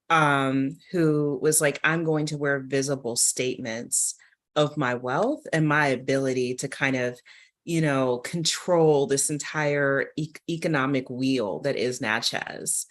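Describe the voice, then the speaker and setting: Woman, low register, conversational panel speaker who thinks out loud with lively asides. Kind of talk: conversational literary panel talk.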